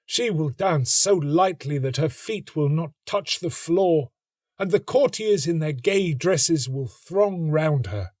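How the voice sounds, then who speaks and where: A lovelorn young student from a storybook, acted out by a male narrator. His voice is lifted high and slowed into long, plaintive phrases, full of melodramatic romantic despair. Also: animated, a little mellow, no room sound, studio quality. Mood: wistful, self-pitying. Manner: plaintive, melodramatic, drawn-out sighing delivery. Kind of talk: storybook reading.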